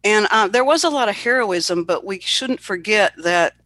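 A woman's voice: medium pitch, lively intonation, moderate pace, fairly bright tone. A woman taking part in a book club, talking casually and offering her opinions with some teasing.

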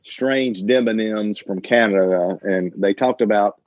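A man hosting a casual group discussion, talking haltingly and repeating words as he thinks. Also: lowish voice, slight background noise. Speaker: discussion host. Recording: dull lo-fi online meeting audio.

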